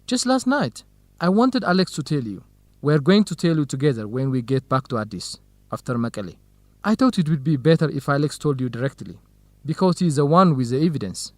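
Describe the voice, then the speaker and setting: A man's voice: a little high, animated, brisk, no echo, lightly acted. A man playing an earnest friend who confides and explains, in an educational radio drama. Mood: apologetic, earnest. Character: earnest male friend confiding difficult news.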